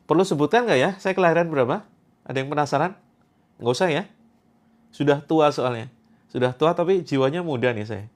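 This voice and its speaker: A man's voice: on the high side, expressive, moderate pace, pauses often. A man in his thirties from Indonesia, hosting a livestream where he reads out viewer comments in a chatty, joking way and repeats his points for emphasis. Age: 30s. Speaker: Quran therapy host.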